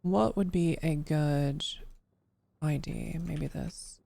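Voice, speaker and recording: A woman's voice: sits low, slow, vocal fry, warm tone, no echo. A tired woman live-streaming while coding, who swears freely and mutters to herself as she works, her voice worn down. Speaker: livestreamer.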